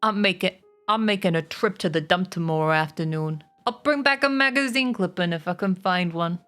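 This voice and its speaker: A woman voicing a sly, grumbling, selfish male rat in a gruff, dismissive way for a storybook. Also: lowish voice, moderate pace, a bit bright, faint music behind, lightly acted. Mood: grudging.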